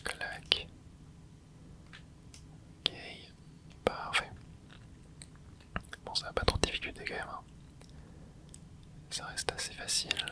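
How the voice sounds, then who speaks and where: A person whispering relaxed running commentary over a video game, with soft breathy tones, close to a microphone indoors. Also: slow pace, long pauses. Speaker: gaming ASMR host.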